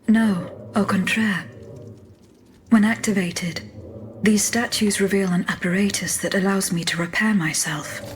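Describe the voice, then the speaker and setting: A woman voicing a courteous, coolly determined automaton in a video game, speaking in formal, measured lines. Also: medium pitch, fairly even intonation, a little hiss or hum, close to an everyday voice. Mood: composed, factual. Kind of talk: scripted video game cutscene dialogue.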